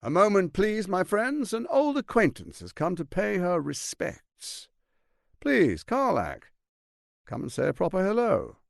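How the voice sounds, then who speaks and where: A man's voice: high voice, huge pitch swings, a little slow, creaky, dry recording, studio quality, exaggerated voice. A man voicing a suave, scheming, arrogant archduke in a fantasy video game, silky, grandiose and condescending in his theatrical delivery. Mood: mock courteous.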